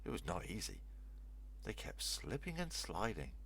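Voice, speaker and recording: An older man reads a children's story aloud in a low voice with a gravelly creak. His intonation moves up and down in a lively storyteller's way. A faint hum sits behind the narration. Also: normal speed.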